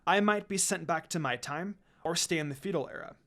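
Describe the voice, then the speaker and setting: A man's voice: on the high side, a little fast, a bit bright, soft background music. A man voicing a cheerful teenage girl, an affectionate daughter, in an anime fan story reading. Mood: warm, earnest.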